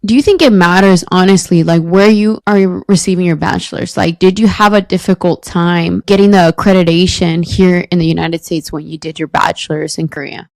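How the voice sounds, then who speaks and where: A woman's voice: fairly low pitch, fairly even intonation, fairly quick, few pauses, a little mellow, dry recording. A woman hosting an interview, giving polite introductions and asking questions. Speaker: host.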